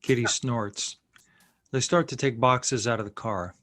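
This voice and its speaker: A man's voice: medium pitch, measured pace, a bit bright, slight background noise. A man reading screenplay stage directions as scripted narration.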